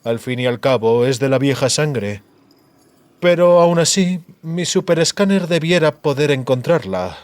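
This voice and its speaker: A man voicing a cruel, disfigured sorcerer in a measured, theatrical monologue, coldly gloating and menacing. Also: on the high side, animated, dry recording. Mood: gloating, reproachful.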